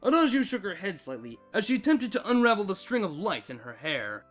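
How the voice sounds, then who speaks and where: A man narrating a scripted story with dramatic, expressive delivery. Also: high voice, fairly quick. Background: background music.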